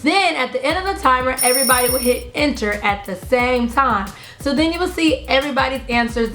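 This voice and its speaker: A woman hosting an online video, explaining party games in a chatty, friendly way to viewers. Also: high voice. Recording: echoey room. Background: music in the background.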